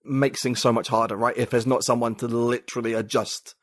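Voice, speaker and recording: A man's voice: mid-range voice, level tone, brisk, no echo. A man, a podcast guest talking reflectively and searching for his words in casual conversation.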